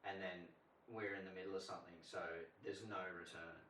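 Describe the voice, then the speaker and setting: Deep-voiced man, roomy and distant. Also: steady tone, a little fast, a bit bright. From Australia. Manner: rambling, heavy use of "like". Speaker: podcast co-host. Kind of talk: casual chat. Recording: roomy sound from off mic, faint hiss.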